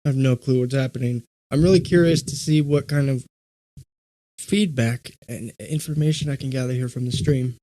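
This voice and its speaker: Young man with a slightly raspy voice. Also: mid-range voice, moderate pace, dark tone, no echo, low-quality recording. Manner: loose, swears often, laughs at himself. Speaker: podcast co-host. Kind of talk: casual livestream chat.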